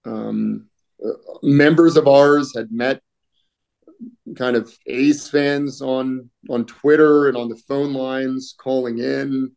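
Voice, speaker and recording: A middle-aged man talking casually as a guest on a video-call interview, with many fillers and frequent throat-clearing. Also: medium pitch, steady tone, slow pace, darkish tone. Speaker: union political director, guest. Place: home room, indoors.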